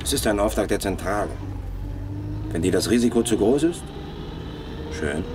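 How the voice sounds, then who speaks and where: An actor playing a cold, sardonic gangster who gives orders in a German radio crime drama. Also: male voice, fairly low pitch, animated, moderate pace, natural voice. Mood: cold, pressing. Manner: sardonic, commanding. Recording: noisy outdoor street and car sounds.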